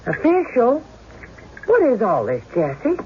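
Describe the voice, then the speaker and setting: An actress playing a sharp-tongued, loyal frontier wife in an old-time radio drama, brisk and no-nonsense. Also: female voice, fairly high pitch, wildly swooping pitch, exaggerated voice. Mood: indignant. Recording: dull, lightly noisy radio recording.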